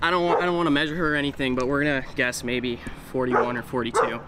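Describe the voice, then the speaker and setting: A young man vlogging outdoors while wading in water, excitable and out of breath, talking into an action camera mic with outdoor noise behind him. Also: medium pitch, fast. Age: young adult. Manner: excitable, exclaiming loudly at catches. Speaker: fishing vlogger. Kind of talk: excited outdoor vlog narration.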